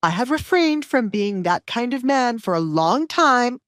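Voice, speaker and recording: A woman's voice: fairly high pitch, very animated, measured pace, a bit bright, no echo, studio quality, voice pushed up. A woman voicing an angry, bullying older baron in an audiobook, commanding and threatening. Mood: threatening anger.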